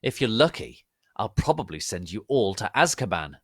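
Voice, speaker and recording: A man's voice: on the low side, very animated, moderate pace, a bit bright, no room sound, clean studio sound. Man playing a cruel cackling wizard headmaster. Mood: mean scolding. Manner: scolding, cackling meanly.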